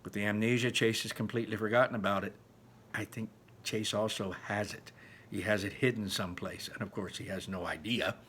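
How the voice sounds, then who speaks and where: A resentful middle-school bully voiced by a man reading aloud narrates a children's novel. The voice is lowish and sharp-edged, sneering and emphatic as he gripes about being wronged. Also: fairly even intonation, brisk, slight background noise, lightly acted. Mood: suspicious muttering. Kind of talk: first-person children's novel read aloud.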